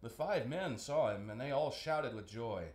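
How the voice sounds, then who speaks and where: A man narrates a children's storybook with a very animated delivery. His voice sits at a middle pitch, and the room around him is audibly echoey. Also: normal speed. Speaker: storybook reader, host.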